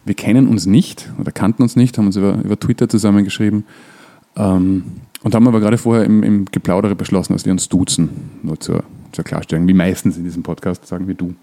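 A man hosting a casual podcast interview, phrasing his questions in a hesitant, unhurried way. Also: low voice, steady tone, vocal fry, warm tone. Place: living room in a flat.

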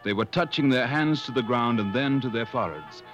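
An actor playing an earnest gentleman adventurer who also narrates, in 1950s radio drama style. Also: medium pitch, a little fast, close to an everyday voice. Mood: solemn narrating. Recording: muffled old 1950s radio broadcast, music.

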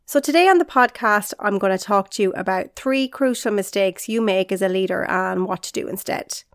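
A woman's voice: medium pitch, moderate pace, no room sound, studio quality. Upbeat woman, a bit nasal. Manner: upbeat, encouraging, direct to listener. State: congested, nasal from illness. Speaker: podcast host and leadership coach. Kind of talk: solo podcast talk.

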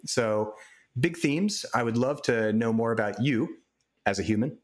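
A man hosting a podcast interview who asks his questions in an eager, friendly way. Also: medium pitch. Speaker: podcast host. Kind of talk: conversational interview.

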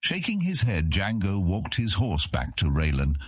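A man narrating a scripted fantasy audiobook in a measured storytelling manner. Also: low voice, wildly swooping pitch, slightly rough, no room sound, studio quality.

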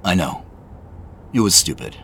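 An actor playing a wry, self-deprecating tech boss in an audio drama, conversational and playful yet guarded. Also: male voice, low voice, big pitch swings, unhurried, gravelly, fairly bright tone, husky, heavily acted. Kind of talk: naturalistic audio drama acting.

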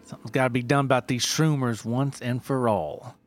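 A man's voice: mid-range voice, fairly even intonation, brisk, dry recording, soft background music, lightly acted. A man voicing an anxious, folksy Appalachian mountain man in a mock documentary. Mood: anxious, resolute. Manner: frantic, folksy.

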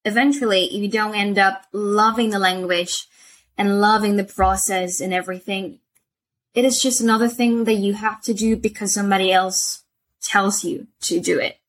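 Spanish woman with soft American accent. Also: medium pitch, fairly even intonation, moderate pace. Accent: soft American English learned by a Spanish speaker. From Spain. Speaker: English teacher, guest. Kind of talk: casual interview chat.

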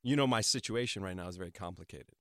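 A man on a talk show panel bantering casually, giving halting and evasive answers about himself. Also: sits low, fairly bright tone, no room sound, studio quality. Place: radio studio. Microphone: close studio microphone.

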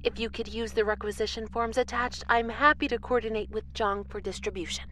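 Woman acting tidy, keen female outpost official, high-pitched, slight background noise, radio play. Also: steady tone, fairly quick, fairly bright tone, lightly acted. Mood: businesslike. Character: eager, orderly woman moon base administrator. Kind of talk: audio drama acting.

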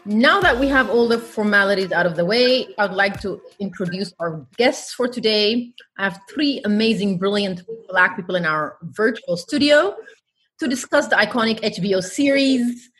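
A woman's voice: mid-range voice, animated, moderate pace, a bit bright, slight background noise. Playful woman hosting a podcast. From Netherlands. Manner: playful, joking asides. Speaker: podcast host. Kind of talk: casual podcast chat.

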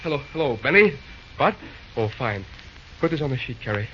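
An actor playing a dazed but dogged young train dispatcher in an old-time radio drama, halting and dutiful, with groans. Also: male voice, medium pitch, very animated, a bit bright. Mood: brisk, urgent. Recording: noisy, dull old radio recording.

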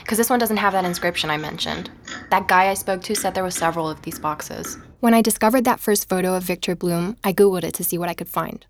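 An actress playing a curious, self-assured investigator in a naturalistic audio drama. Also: female voice, fairly low pitch, quick pace, a little hiss or hum, natural voice. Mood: engaged, explaining. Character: curious, self-assured female investigator reporting her findings.

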